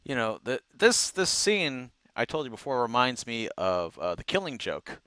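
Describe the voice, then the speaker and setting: A man co-hosting a casual chat, recapping episodes in an animated storytelling way. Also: medium pitch, moderate pace, clear, resonant tone, no room sound. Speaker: co-host reviewing cartoon episodes.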